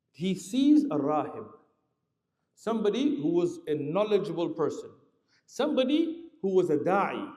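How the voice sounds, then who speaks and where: A man preaching a sermon, telling a story with lively explanatory asides. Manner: animated, lively pitch swings, explanatory asides. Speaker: Islamic preacher. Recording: roomy hall reverb.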